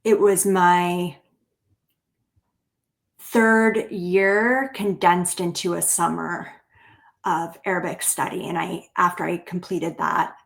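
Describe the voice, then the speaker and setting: A woman with an American accent, answering interview questions in a thoughtful, measured way and choosing her words carefully. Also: on the low side, steady tone, gravelly. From Bulgaria, grew up in the United States. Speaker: interview guest, writer and therapist. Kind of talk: reflective interview conversation.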